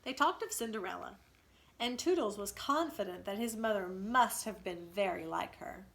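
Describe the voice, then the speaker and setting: Bright-voiced woman teacher reading aloud. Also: medium pitch, animated, moderate pace, creaky. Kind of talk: storybook read-aloud with explanations.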